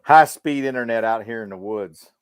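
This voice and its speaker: A man's voice: mid-range voice, moderate pace, smooth, no echo, clean studio sound. A man co-hosting a livestream, chatting casually and using plenty of slang. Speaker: podcast co-host. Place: home in the countryside, on a video call.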